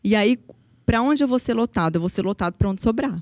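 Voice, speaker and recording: A woman's voice: a little high, lively intonation, fast, a little mellow, no echo. A woman from Brazil's Paraná region, a public defender, explaining her work earnestly in a podcast interview, with frequent hesitations. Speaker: federal public defender, guest.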